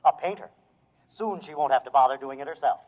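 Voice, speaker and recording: A man's voice: medium pitch, brisk, natural voice. An actor playing a devoted, eager male robot servant in 1950s radio drama, earnest and deferential. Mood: proud, reassuring. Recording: dull old radio recording, long reverb.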